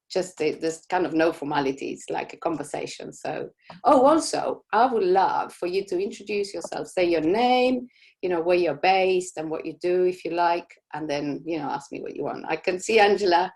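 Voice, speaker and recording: Chatty woman from Argentina, lowish voice. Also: very animated, unhurried. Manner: warm, chatty, many "you know" fillers. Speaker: host of a live Q&A for business owners. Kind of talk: casual live Q&A session.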